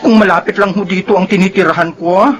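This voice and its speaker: A woman with a Filipino accent playing a caring, gentle young cousin in a radio drama. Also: medium pitch, steady tone, fast talker, natural voice. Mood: sympathetic, gentle. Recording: dull, band-limited broadcast with music bed.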